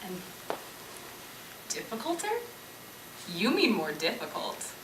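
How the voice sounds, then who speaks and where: Stage role: quick-witted, teasing young female, played by a woman, animated delivery, distant roomy sound. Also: medium pitch. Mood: mocking. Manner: sarcastic, mock-explaining. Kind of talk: amateur stage play acting. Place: indoor stage, recorded at a distance. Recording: echoey distant stage recording, faint noise.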